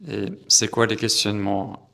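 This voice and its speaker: Gravelly-voiced male interviewer. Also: on the low side, little pitch movement, a little slow, fairly bright tone. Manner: short, direct questions. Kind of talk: interview questions.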